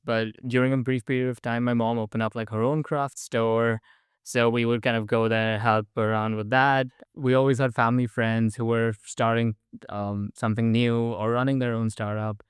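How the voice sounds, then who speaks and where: A man's voice: medium pitch, clear, resonant tone, no echo, studio quality. A young man from India, chatting eagerly as a podcast guest and using lots of "like" and "um". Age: young adult. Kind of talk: casual interview storytelling.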